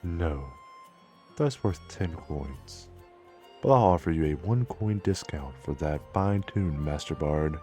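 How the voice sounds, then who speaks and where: A man playing a gruff, haggling merchant and father in a fan dub, speaking in a grudging, bargaining manner. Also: a bass voice, animated, takes it slowly, mellow, soft background music, heavily acted. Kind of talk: fan dub character acting.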